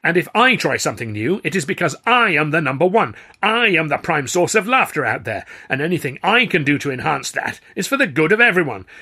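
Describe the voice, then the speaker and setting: A vain male comedy star, as a male narrator plays him, pitched high and forceful. He blusters and splutters with indignant self-importance, as in a storybook. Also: big pitch swings, brisk, few pauses, breathy edge, heavily acted. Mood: furious, spluttering.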